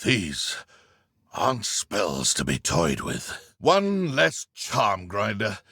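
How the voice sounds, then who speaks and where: An actor playing a sneering, menacing British poacher and dark wizard in a video game, taunting with mock politeness and contempt. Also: male voice, lowish voice, wildly swooping pitch, very slow, breathy edge, dry recording, clean studio sound, heavily acted. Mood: grim contempt. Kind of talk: video game villain combat barks.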